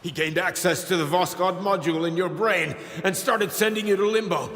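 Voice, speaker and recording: An actor playing an outraged scientist mentor in a video game cutscene, emotional, urgent and pleading. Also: male voice, high-pitched, steady tone, a little fast, fairly bright tone. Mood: urgent, accusing. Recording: echoey hall reverb with faint noise.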